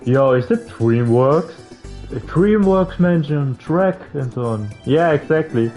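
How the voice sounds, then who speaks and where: A man's voice: on the high side, lively intonation, slow, warm tone. A man doing a casual, informal game playthrough, reading text aloud with stumbles and some swearing and adding his own comments. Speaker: let's player reading a visual novel aloud. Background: game music.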